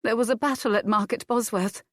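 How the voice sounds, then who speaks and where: A woman's voice: medium pitch, normal speed, dry recording, studio quality, voice pushed up, close to an everyday voice. A woman reading an audiobook, voicing a grieving young widowed noblewoman who pleads through tears. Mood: grave. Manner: sobbing, tearful pleading. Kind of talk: audiobook character voice.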